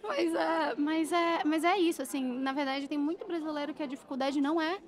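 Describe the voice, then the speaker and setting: A woman's voice: high voice, fairly quick. A woman from Brazil, a career expert on a podcast, talking emphatically and animatedly. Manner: emphatic, animated, acts out quotes. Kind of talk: casual podcast interview.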